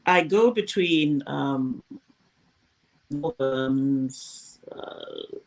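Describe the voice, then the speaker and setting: A woman, a former news presenter talking through her career at a thoughtful, measured pace in a casual interview. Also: rumbling low, gravelly, poor audio. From Zimbabwe.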